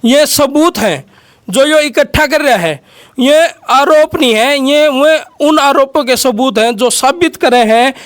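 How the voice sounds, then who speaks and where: A man's voice: very high-pitched, unhurried, fairly bright tone. A man with a Haryanvi accent working as an interviewer, questioning his guest and explaining things to viewers in a conversational way. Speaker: interviewer and host.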